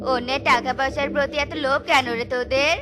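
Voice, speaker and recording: A woman's voice: sits high, flat delivery, fast talker, a crowd in the background, lightly acted. Woman playing a scornful, scolding cartoon passer-by. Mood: irritated, scornful. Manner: scolding, dismissive. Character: gruff, scornful passer-by shooing beggars in a cartoon. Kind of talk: children's cartoon voice acting.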